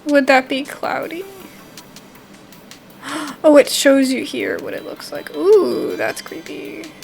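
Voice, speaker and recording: A young woman giving casual game commentary and reading the text aloud, expressive and informal. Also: sits high, slow, creaky, soft background music. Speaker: gaming video host.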